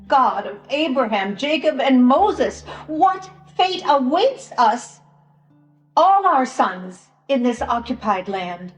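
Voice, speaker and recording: A woman playing a sorrowful, troubled grandmother in a solemn Passion drama monologue, pausing long between phrases. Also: high voice, very animated, exaggerated voice. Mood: troubled, grieving. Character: sorrowful grandmother in occupied ancient land, a troubled bystander. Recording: roomy echoing church sound with music.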